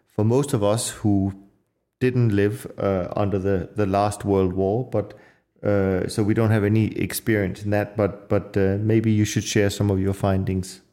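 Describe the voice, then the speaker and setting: A man hosting a podcast interview, talking hesitantly with many pauses and restarts. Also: on the low side, flat delivery, warm tone. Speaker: podcast host. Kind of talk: casual interview.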